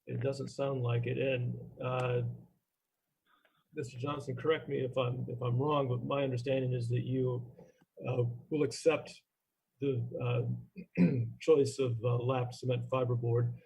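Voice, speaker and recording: A man chairing a formal meeting as its chairman, speaking in a halting, procedural way. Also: medium pitch, little pitch movement, gravelly, a little mellow, husky, poor audio. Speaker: commission chairman. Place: remote meeting call.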